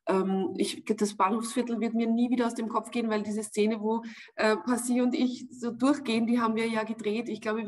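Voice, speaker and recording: A woman in her forties with an Austrian accent, speaking in a lively, emphatic way as a guest on a video-call interview. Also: fairly high pitch. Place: room at home. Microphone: video call webcam.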